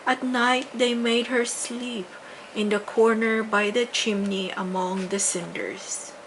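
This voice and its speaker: An older woman narrating a children's bedtime story with deliberate, dramatic emphasis. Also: fairly high pitch, fairly even intonation, a bit bright, rough recording. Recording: faint background noise.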